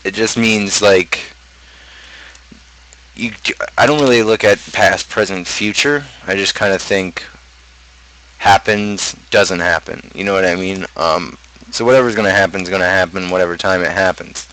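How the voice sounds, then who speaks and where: A man's voice: low voice, moderate pace, pushed and bright, rough recording. A man streamer talking casually about his own song lyrics, rambling and thinking aloud as he goes. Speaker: streamer host and amateur rapper.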